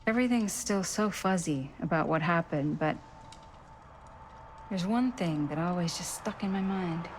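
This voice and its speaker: An actress playing a frank, reflective middle-aged American woman in a video game cutscene, speaking in a measured, plain-spoken way. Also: on the low side, background noise, lightly acted. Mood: nostalgic, awed. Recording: music under the dialogue. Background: background music.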